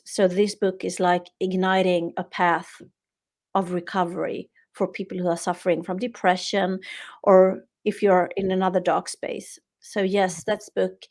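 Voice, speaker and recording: A woman's voice: on the low side, darkish tone. A middle-aged woman from Sweden with a Swedish accent, a guest author and yoga therapist talking earnestly and reflectively in a podcast interview, often restarting her phrases.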